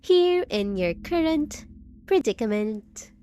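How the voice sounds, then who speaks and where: Slow-talking possessive undead bride, played by a woman. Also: a little high, very animated, dark tone, slight background noise. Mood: mocking. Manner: sweet pet names, mock pity, clingy and threatening. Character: possessive, unhinged undead bride, sweetly menacing to her lover. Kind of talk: scripted roleplay audio drama.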